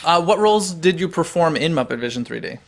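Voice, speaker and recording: A man's voice: a little high, animated, quick pace, gravelly. A man hosting a podcast, reading an ad and asking a guest short questions. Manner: brief reactions, reads ad copy. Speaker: podcast host. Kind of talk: interview and ad read.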